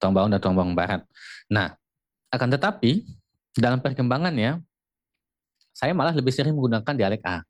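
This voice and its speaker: A man's voice: mid-range voice, lively intonation, fairly quick, pauses often. Indonesian man from explaining steadily. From Lampung, Indonesia. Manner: explanatory, frequent filler "gitu" and "ya". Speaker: guest speaker on regional language. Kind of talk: radio talk show conversation.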